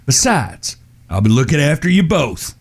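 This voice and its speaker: An actor playing a stern, watchful American gunslinger older brother, with a firm, wry, folksy drawl in a western audio drama. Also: male voice, on the high side, sing-song, normal speed, slightly rough. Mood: firm, exasperated.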